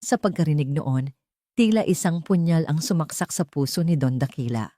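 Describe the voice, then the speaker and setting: A woman's voice: sits low, animated, moderate pace, dark tone, no echo. A woman narrating a scripted, dramatic audio story as the storyteller.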